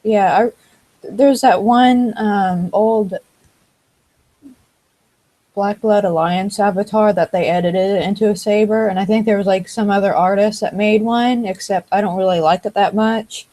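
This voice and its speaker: A woman with a mellow, dark-toned voice chats over a computer microphone. She talks hesitantly, pausing and restarting as she looks for words. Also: medium pitch, steady tone. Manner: hesitant, many fillers and restarts. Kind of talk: casual chat. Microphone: computer microphone on a voice chat.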